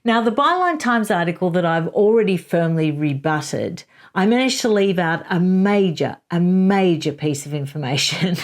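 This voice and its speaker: A woman hosting her own commentary channel, making emphatic opinionated arguments and reading passages aloud. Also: fairly low pitch. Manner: emphatic, rebutting, reads quotes aloud.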